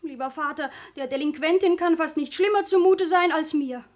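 High-voiced, plaintive young daughter, played by a woman. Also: normal speed, darkish tone, lightly acted. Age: young adult. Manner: earnest, plaintive, theatrical. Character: lovelorn, protesting young daughter of a mayor. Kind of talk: theatrical radio comedy acting.

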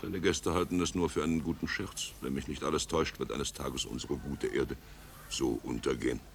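A grave, cynical confidant in an old radio play. The voice is extremely deep and the delivery dry and sardonic. A faint noise sits under the recording. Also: male voice, fairly even intonation, moderate pace, gravelly. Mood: dry, ominous. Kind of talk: 1950s German radio play acting.

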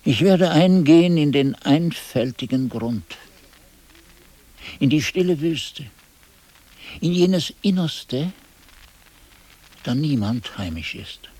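A man's voice: a little high, expressive, mellow, breathy edge, dry recording, exaggerated voice, rough recording. An actor playing a weary, disillusioned old monk who solemnly recounts his past in a radio drama. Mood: bleak, weary. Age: older. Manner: solemn, deliberate.

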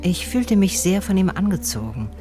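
A woman's voice: medium pitch, big pitch swings, moderate pace, music in the background, natural voice. An actress playing an observant female investigator who narrates a radio drama in a reflective, probing manner. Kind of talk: scripted first-person narration, radio drama.

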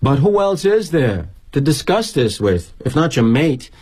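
Actor playing a tired sarcastic corporate guy, kinda husky, pitch jumps all over. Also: sing-song, unhurried, a little mellow, exaggerated voice. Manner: wry, sardonic, confiding. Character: weary, sardonic male corporate executive. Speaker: corporate executive. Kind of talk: theatrical monologue.

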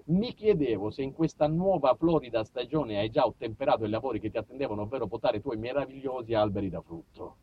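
A man with a southern Italian accent performing a comic monologue, theatrical and mock-pompous, moving between muttered asides and loud outbursts. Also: mid-range voice, fast talker, hardly any pauses, slightly rough, phone-quality sound. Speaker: stage monologist. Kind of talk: comic autobiographical storytelling to a live audience.

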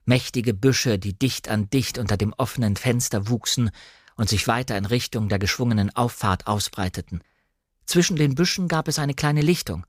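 A man reading literary narration in standard German, with measured, carefully articulated storytelling. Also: lowish voice, no room sound, studio quality. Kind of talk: scripted literary narration, audiobook-like radio reading. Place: dry studio.

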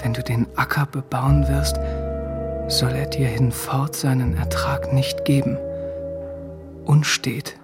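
An actor playing a weary young German soldier in a radio drama, speaking in a subdued, terse way. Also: male voice, medium pitch, little pitch movement, slow pace, long pauses, loud music behind the voice. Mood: reading quietly. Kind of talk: radio drama acting, dialogue and narrated thoughts.

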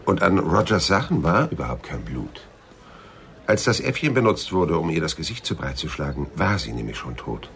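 A shrewd private eye in a noir radio play. His voice is extremely deep, with a lively rise and fall. He probes bluntly and dryly. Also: normal speed, heavily acted. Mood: accusing. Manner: blunt, probing, dry. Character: Philip Marlowe, hard-boiled, shrewd private detective. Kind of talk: 1990s German radio crime drama acting.

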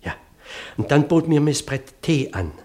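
A man's voice: a little high, big pitch swings, unhurried, a little mellow. An actor playing an earnest, long-winded parish clergyman in a German radio play, pedantic and hesitant, easily flustered as he digresses. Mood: meticulous, eager.

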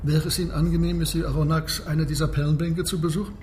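An actor playing a courteous, enigmatic submarine captain in a radio play, speaking with formal politeness. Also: male voice, a little high, level tone, fast talker, a little mellow, slight background noise, lightly acted. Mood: courteous, challenging.

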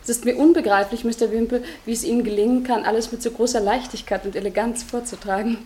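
Woman playing gushy posh society hostess, radio play, highish voice, very polite. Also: steady tone, fast talker, dark tone, close to an everyday voice. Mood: gushing, admiring. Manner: effusive, polite. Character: gushing, admiring society hostess. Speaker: radio drama actress. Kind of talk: German radio play acting.

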